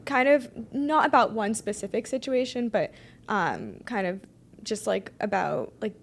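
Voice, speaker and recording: A woman's voice: mid-range voice, expressive, unhurried, vocal fry. A young woman songwriter answering interview questions in a hesitant, casual way, with many ums and likes. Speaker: guest songwriter.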